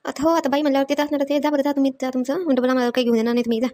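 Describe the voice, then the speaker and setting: A woman performs a bossy, practical village woman from a cartoon comedy, with a Varhadi Marathi accent. Her voice is high and rather even, and she rattles along extremely fast, repeating herself as she admonishes. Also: natural voice. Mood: scolding.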